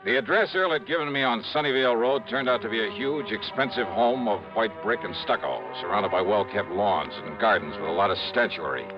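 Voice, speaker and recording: A man's voice: lowish voice, fairly quick, hardly any pauses, lightly acted. A man playing a breezy, wisecracking insurance investigator in 1950s radio drama style. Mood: matter-of-fact. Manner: breezy, wisecracking, folksy slang. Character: breezy, teasing male freelance insurance investigator. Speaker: lead actor and narrator of a detective serial. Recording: muffled vintage radio recording with music.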